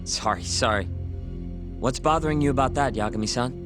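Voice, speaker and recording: A man's voice: medium pitch, fairly quick, natural voice. A man playing a laid-back, flippant young sleuth in an English-language video game, casual and wry. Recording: music behind the voice.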